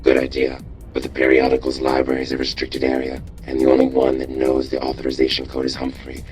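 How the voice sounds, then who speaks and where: A shadowy video game robot contact with an extremely deep voice. The delivery is monotone, controlled and secretive, with music underneath. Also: male voice, poor audio. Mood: secretive, urgent. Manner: flat, measured, instructing. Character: mysterious male robot informant, secretive, cool and controlled. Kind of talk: video game character acting.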